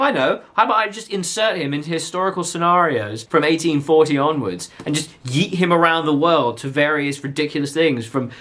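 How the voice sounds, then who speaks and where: A man hosting a book vlog in a casual, animated, opinionated and exclamatory way. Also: on the high side.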